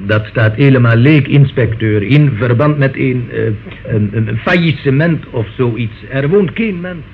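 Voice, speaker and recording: An actor playing an evasive, nervous Italian market-stall landlord and informant in a radio play, speaking hesitantly. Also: male voice, medium pitch, unhurried, close to an everyday voice. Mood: cautious. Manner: hesitant, hedging. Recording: muffled, noisy old radio recording.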